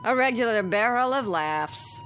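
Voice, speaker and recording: An actress playing a sardonic, needling wife in a radio drama, dripping sarcasm. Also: female voice, on the high side, expressive, a little slow, fairly bright tone, slight background noise. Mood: sarcastic. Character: sardonic, needling wife mocking her husband.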